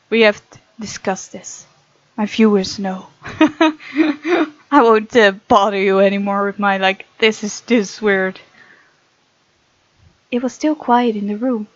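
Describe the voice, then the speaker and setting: A woman's voice: fairly high pitch, highs cut off. A woman hosting a gaming video, reading visual novel text aloud with chatty, giggly commentary.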